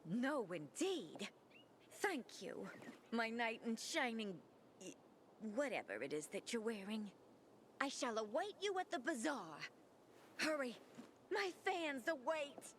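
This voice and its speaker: A flamboyant, vain diva, high-pitched and swooping all over the place. She speaks of herself in the third person with grand theatrical flair, in a game scene with a faint hiss behind her. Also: big pitch swings, slow, pauses often. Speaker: singer. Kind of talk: video game dialogue.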